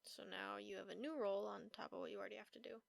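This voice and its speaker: Woman playing a soft-spoken, creaky-voiced caring vampire roommate. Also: medium pitch, steady tone, rapid-fire, dry recording. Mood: gentle concern. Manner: gentle, concerned, reassuring. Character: caring vampire roommate comforting a sleepless friend. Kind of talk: soft-spoken comfort audio roleplay. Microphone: close, dry microphone.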